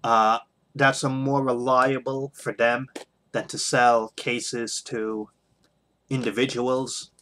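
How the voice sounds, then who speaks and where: A middle-aged man with a medium-pitched voice speaks off the cuff, like a hobby video blogger giving his take. His delivery is halting and hesitant, often pausing as he works out the next phrase. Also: a bit bright. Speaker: video blogger on toy collecting. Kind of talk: unscripted opinion monologue.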